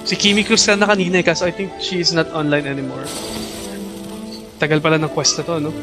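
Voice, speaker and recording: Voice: medium pitch, little pitch movement, fast talker. Filipino-accented streamer over game music. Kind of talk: casual livestream gameplay commentary. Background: video game music.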